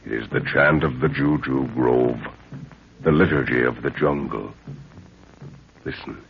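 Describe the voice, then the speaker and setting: A male announcer with a deep voice narrates in the dramatic style of 1930s radio. His delivery is portentous and theatrical, heard through a muffled recording with faint noise. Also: measured pace, vocal fry.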